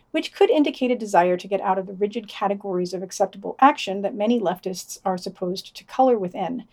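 A woman's voice: mid-range voice, darkish tone. A woman co-hosting a podcast, reading scripted news in a dry, sardonic way with wry, opinionated asides.

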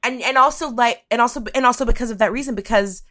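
A woman's voice: fairly high pitch, fast talker, fairly bright tone. A woman from the United States in a casual podcast chat, talking in a wry, reflective, rambling way. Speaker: podcast guest discussing topic of the week.

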